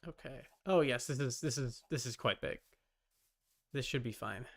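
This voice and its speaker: A man's voice: mid-range voice, normal speed, a bit bright. A man giving casual live gaming commentary, muttering to himself and chatting to viewers, often repeating his words. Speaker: game streamer.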